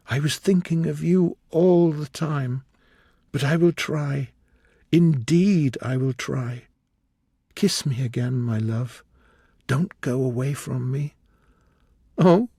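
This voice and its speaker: Heartbroken young actress, voiced by a man, pleading high and breathy, in a dry close audiobook sound. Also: slow pace, a little mellow, no room sound, heavily acted. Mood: desperate pleading. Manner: tearful, imploring.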